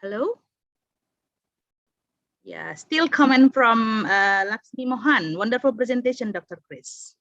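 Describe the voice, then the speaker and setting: A woman's voice: fairly high pitch, animated, a little slow, long pauses, a bit bright, no echo. A woman from Indonesia moderating an online conference, hesitant, with many fillers and restarts. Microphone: video call. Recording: dull video call audio.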